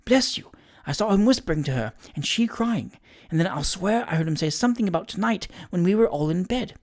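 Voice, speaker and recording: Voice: lively intonation, a little fast, lightly acted. Narrator as a gleeful, knowing gossipy man. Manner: knowing low whisper.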